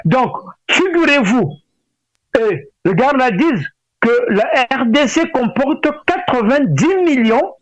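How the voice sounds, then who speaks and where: A middle-aged man with a Congolese French accent and a notably high voice. He talks as an interview guest, stopping and repeating words as he searches for the next one. The sound is dry and slightly dull, like lo-fi radio. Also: big pitch swings, no echo. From Brazzaville, Republic of the Congo. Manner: hesitant, halting delivery with repeated words. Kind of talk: spoken interview, opinionated discussion.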